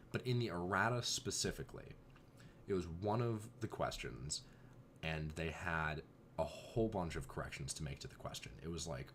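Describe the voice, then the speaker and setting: Very deep-voiced young man, slightly hoarse. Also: a bit bright, slight background noise. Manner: rambling, exasperated asides. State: voice going hoarse. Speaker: vlogger. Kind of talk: casual chat.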